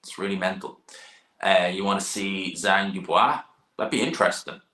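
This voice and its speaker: A young Dublin man with an Irish accent chats loosely through viewer questions, repeating phrases and using casual slang. His voice is low but sharp and forceful. The room around him rings with echo. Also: steady tone, moderate pace. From Ireland. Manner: rambling, repeats himself, informal slang. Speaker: boxing channel host. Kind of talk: live stream chat answering viewer questions.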